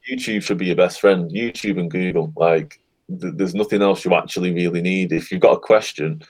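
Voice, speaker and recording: A man being interviewed as a guest on a podcast, talking in a relaxed, encouraging way. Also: low-pitched, fairly quick, poor audio. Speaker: guest, affiliate marketer. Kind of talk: casual interview chat.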